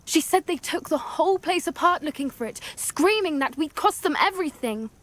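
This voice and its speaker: A woman voicing an earnest, determined teenage witch in a video game, with a British accent and an articulate, quietly intense manner. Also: very high-pitched, lively intonation, moderate pace. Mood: distressed. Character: earnest, determined teenage witch schoolgirl who loves creatures. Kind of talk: cinematic game dialogue acting. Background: background music.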